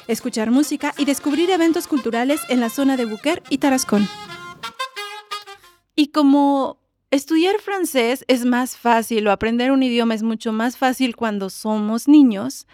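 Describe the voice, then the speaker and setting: A woman's voice: high voice, darkish tone, no echo. A woman hosting a community radio show, patiently coaxing a young guest through a short lesson. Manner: patient, coaxing, prompts a child step by step. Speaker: radio host. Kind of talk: community radio presenting and interviewing.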